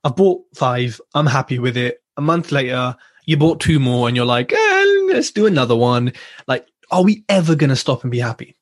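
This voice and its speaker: A man's voice: mid-range voice, very animated, normal speed. A man hosting a podcast, talking bluntly and swearing now and then in casual chat. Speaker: podcast host.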